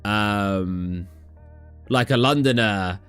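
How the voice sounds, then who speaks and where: A man's voice: fairly low pitch, very slow, fairly bright tone, clean tone, faint music behind. A young man from England, chatting casually on a live stream and answering chat questions in a tired, rambling way. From southern England. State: tired, low energy.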